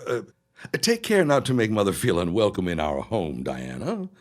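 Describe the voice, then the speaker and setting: An actor as a dutiful grown son and newlywed husband in an audio drama. His voice sits fairly low and moves expressively, with formal, careful, placating phrasing. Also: huge pitch swings, normal speed.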